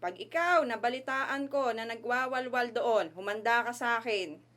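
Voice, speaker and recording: A woman acts as a worrying mom in an amateur radio play. Her fairly high voice is fretful and sulky, nagging in a loving way. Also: moderate pace, fairly bright tone, close to an everyday voice. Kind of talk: amateur radio drama acting.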